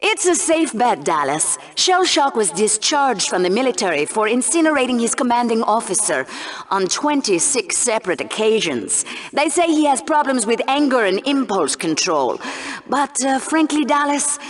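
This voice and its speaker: A woman's voice: a little high, very animated, normal speed. An actress playing a mocking, sweetly sadistic female co-anchor doing sports commentary in a video game. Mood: wry. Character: sultry, sardonic female co-anchor with a cruel streak. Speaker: co-host commentator.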